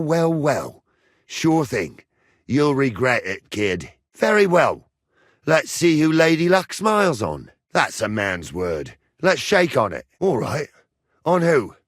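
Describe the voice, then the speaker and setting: A gruff medieval captain from a video game, speaks in blunt, rustic, teasing phrases. His medium-pitched voice swings expressively up and down with cocky swagger. The sound is close and dry. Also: no echo. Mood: smug, teasing. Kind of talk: video game character dialogue lines.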